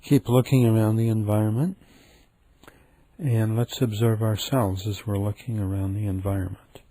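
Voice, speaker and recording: A man leading a guided meditation in a gentle, calm, unhurried manner with frequent pauses. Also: on the low side, dry recording.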